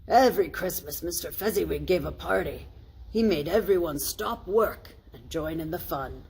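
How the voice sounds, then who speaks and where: A woman pastor reading a storybook aloud in an expressive children's storytime style. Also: fairly low pitch, moderate pace, vocal fry, husky.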